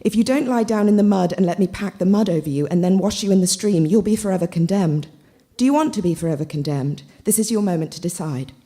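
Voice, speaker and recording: A woman's voice: lowish voice, animated, fast talker, few pauses, warm tone, lightly acted. A woman voicing a bossy, zealous thirteen-year-old girl in a storybook reading, with a commanding, solemn manner. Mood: stern, urgent.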